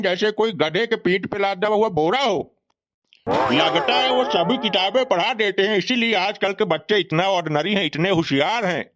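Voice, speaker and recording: A man's voice: high voice, a bit bright, dull audio. A man voicing a stubborn, boastful old village uncle in a comic cartoon, argumentative and colloquial. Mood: conceding.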